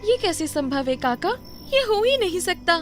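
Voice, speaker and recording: An earnest young village girl in a cartoon moral tale, put on by a woman. Her voice is very high and emphatic, respectful but insistent. Music runs clearly behind her. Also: sing-song, moderate pace. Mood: insistent, surprised. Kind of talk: Hindi animated moral story acting.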